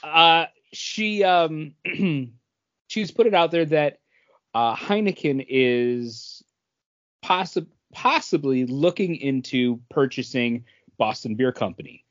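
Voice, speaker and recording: A man co-hosting a casual podcast, explaining industry news in a halting, stop-start way. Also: a little high, no echo, muffled recording. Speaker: podcast co-host.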